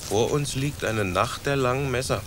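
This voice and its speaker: An actor playing a formal, coolly calculating schemer in a German radio play, speaking with mock solemnity. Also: male voice, medium pitch, normal speed, background noise, close to an everyday voice. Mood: scheming, deadpan. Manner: formal, deadpan. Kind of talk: German radio drama acting.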